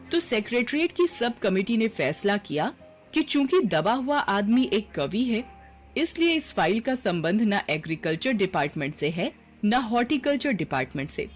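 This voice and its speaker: A woman narrator reading a scripted story for a cartoon video. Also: mid-range voice.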